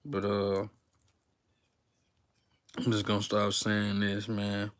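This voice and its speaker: A man's voice: lowish voice, slow pace. A young man from Texas, talking unscripted to his viewers in a rambling, slang-filled way with lots of fillers. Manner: rambling, relaxed slang-filled talk with many fillers and restarts. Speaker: YouTuber. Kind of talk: unscripted casual talk to viewers, live stream.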